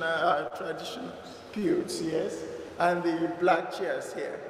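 Slow Nigerian man, echoey church hall. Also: on the high side, slight background noise. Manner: unhurried, conversational, with fillers. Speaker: church member giving a reflection. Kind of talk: informal spoken reflection at a church service.

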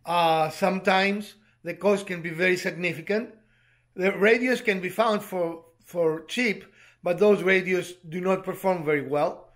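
Higher-pitched man explaining in halting phrases. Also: fairly bright tone. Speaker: presenter of a DIY hobby channel. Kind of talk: explanatory tutorial talk to camera.

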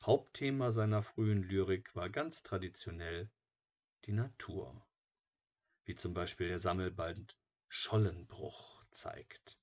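Low-voiced man reading scripted narration. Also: slow, a little mellow. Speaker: audiobook narrator.

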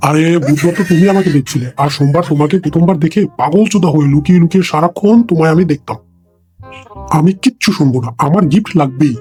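A man playing a lecherous, foul-mouthed older father in a comic cartoon, talking in a crude, hurried way. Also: a little high, darkish tone, husky. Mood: coaxing. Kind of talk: comic cartoon dialogue in colloquial Bengali. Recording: music behind the voice.

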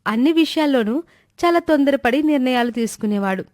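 A woman's voice: high voice, expressive, a little fast, darkish tone, no room sound, studio quality. A woman giving scripted narration of a moral story.

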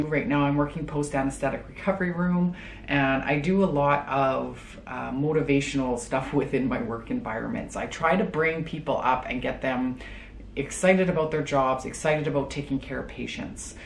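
A woman's voice: low voice, moderate pace, creaky, roomy sound, a little hiss or hum. A woman in her late thirties from Calgary, a travel nurse chatting casually to camera in a bedroom, upbeat with many fillers. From Calgary, Canada. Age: late 30s. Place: bedroom, indoors.

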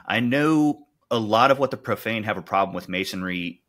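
Male podcast co-host, fairly low, forceful tone, conversational delivery. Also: lively intonation, normal speed. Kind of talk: casual podcast discussion.

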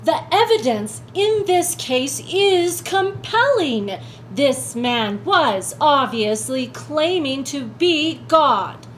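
A woman's voice: high voice, expressive, slow, a little hiss or hum. A woman playing a prosecutor in a church radio play, emphatic and objecting.

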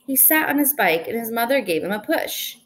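A young woman reading a children's picture book aloud in an expressive, deliberate way, recorded indoors at home. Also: fairly high pitch. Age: young adult. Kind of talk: storybook read-aloud. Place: indoors in a small room at home.

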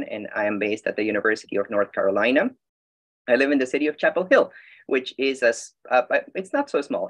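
A man's voice: medium pitch, a bit bright. A man from Peru, a historian, giving a friendly academic talk over a video call, with fillers and restarts. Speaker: historian, conference speaker.

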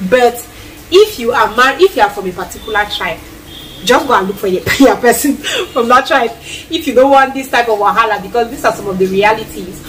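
A woman's voice: fairly high pitch, a little fast, recorded from a distance, a little hiss or hum, low-quality recording. A woman in her thirties with a Cameroonian accent, chatting casually to camera while she cooks in a small tiled kitchen. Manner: animated, lots of hand gestures and acted-out quotes. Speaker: vlogger. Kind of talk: casual chat while cooking. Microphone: camera a few feet away.

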